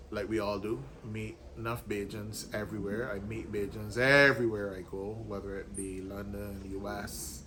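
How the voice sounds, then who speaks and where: A man hosts a podcast in a low, easygoing voice. He talks loosely, with frequent ums and you knows. A faint hiss of noise sits under the recording. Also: rough recording. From Barbados. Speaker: podcast host, lawyer. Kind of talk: casual explanatory monologue.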